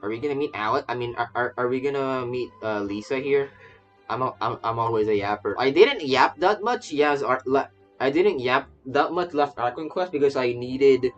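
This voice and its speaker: A man's voice: mid-range voice, animated, normal speed, dull audio. A man livestreaming a game with casual, rambling commentary, often stammering and repeating phrases. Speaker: game streamer, VTuber. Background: faint game music.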